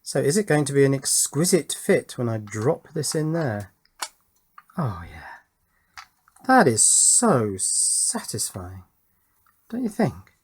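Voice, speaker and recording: A man's voice: mid-range voice, big pitch swings, slow, pauses often, breathy edge. A man giving casual hands-on commentary on an electronics build, musing aloud and chatting to the viewer in a small workshop. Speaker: electronics hobbyist and video presenter. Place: small cluttered home workshop.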